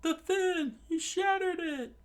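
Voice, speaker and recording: A man voicing panicked pirate crewmen who yell in a shrill high voice. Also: slow. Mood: alarmed. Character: shouting rough pirate crewmen.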